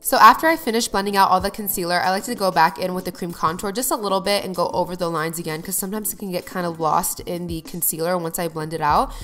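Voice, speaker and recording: A woman's voice: fairly low pitch, fast talker, few pauses, fairly bright tone, soft background music. A young adult woman narrating her makeup routine to viewers in an upbeat, chatty way, sounding a little nasal from a cold. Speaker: beauty vlogger. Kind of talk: get-ready-with-me voice-over.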